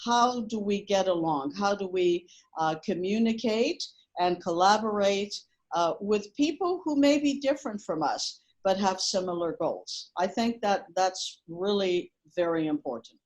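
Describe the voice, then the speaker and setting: A woman's voice: medium pitch, animated, unhurried, a little mellow. A woman who grew up in Portugal, a school principal answering interview questions earnestly, with many ums and uhs.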